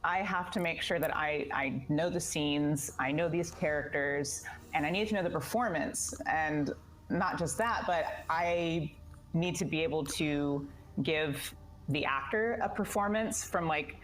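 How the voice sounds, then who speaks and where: Low-pitched woman in an interview. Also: a little slow, poor audio. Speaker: voice director and voice actor interviewee. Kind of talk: casual interview answers.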